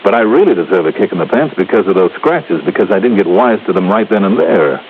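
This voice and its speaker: Hard-boiled american investigator, very low voice, swoopy and emphatic, slangy, muffled old radio show. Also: male voice, lively intonation, fast talker, a little hiss or hum. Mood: insistent. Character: brisk, sharp, self-reproaching male insurance investigator. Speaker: investigator and narrator. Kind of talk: 1940s-50s radio detective drama, hard-boiled narration.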